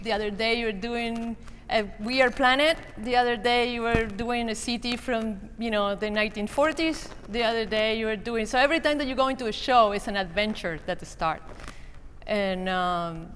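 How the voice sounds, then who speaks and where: A woman's voice: fairly high pitch, moderate pace, a bit bright. A woman from Argentina with an Argentine accent, speaking as a panelist in casual talk, with many hesitant fillers. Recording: reverberant convention hall with faint noise.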